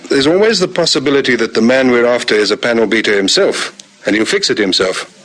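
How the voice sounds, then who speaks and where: Diligent South African detective lieutenant, mid-pitched, brisk, formal, old radio police serial. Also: male voice, expressive, a bit bright. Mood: businesslike, dutiful. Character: diligent, respectful male police lieutenant on murder squad. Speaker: actor in a radio drama. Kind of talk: vintage radio police drama acting.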